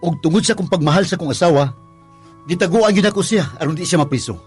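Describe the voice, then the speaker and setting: Worried devoted husband, Visayan Filipino accent, high husky voice, sighing, radio drama. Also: male voice, fast talker, soft background music. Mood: confiding, sorrowful. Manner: plaintive, sighing, pleading. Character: worried, long-suffering, devoted husband narrating his story.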